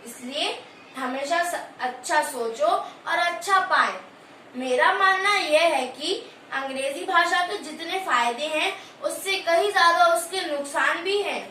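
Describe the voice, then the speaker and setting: A woman's voice: very high voice, unhurried, bright, forceful tone, heavily acted. A woman playing a female student in an amateur skit, reciting her lines in an earnest, declamatory way. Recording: echoey room, distant mic, faint hiss.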